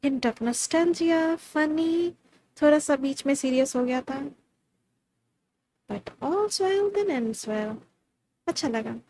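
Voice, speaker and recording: A woman's voice: high-pitched, animated, quick pace, pauses often, darkish tone, poor audio. A woman with an Indian accent giving casual live commentary as a game streamer. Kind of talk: casual live gameplay commentary, mixing Hindi and English.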